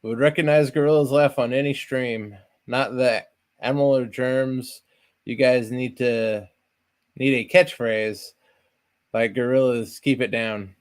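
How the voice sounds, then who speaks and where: A man in a relaxed casual stream chat, reading out viewer comments. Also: mid-range voice, unhurried, clear, resonant tone.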